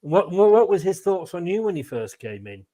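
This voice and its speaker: A middle-aged Englishman hosts a casual fan interview in a fairly high male voice. He has an English accent and a chatty, rambling way of getting to his questions. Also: very animated, normal speed, warm tone. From England. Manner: chatty, rambling, chuckles between phrases. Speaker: fan channel host, interviewer.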